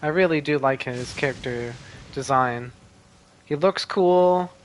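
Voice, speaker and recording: A man's voice: on the high side, measured pace, slight background noise, poor audio. A man chatting casually and in a laid-back way as he plays and comments on a video game. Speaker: gamer commenting on gameplay. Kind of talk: casual gameplay chat.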